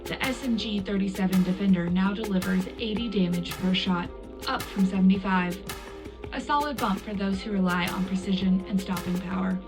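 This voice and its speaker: A female wartime news presenter, played by a woman, delivers a scripted military bulletin. Her delivery is measured and official, sitting at an average pitch for a woman. Music plays clearly behind her. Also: fairly even intonation, close to an everyday voice. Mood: composed, official. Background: loud music bed.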